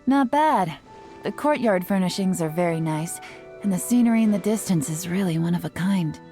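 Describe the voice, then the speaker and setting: Casting: actress to play young female game intelligence agent, lowish voice, cool, knowing and playful, music underneath. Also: lively intonation, mellow, lightly acted. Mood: teasing, playful. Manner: composed, teasing, unhurried, knowing. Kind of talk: video game character voice lines. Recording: studio voice over background music.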